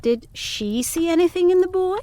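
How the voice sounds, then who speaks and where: A woman playing an earnest young governess in a gothic period audio drama, speaking in formal, measured diction. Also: very high-pitched, animated, warm tone, a little hiss or hum. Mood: probing. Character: young pretty governess, earnest, questioning, protective.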